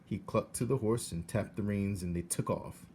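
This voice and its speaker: A man, a teacher, reading a children's novel aloud in a steady, expressive voice. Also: on the low side, normal speed, creaky, a little hiss or hum. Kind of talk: read-aloud storybook narration.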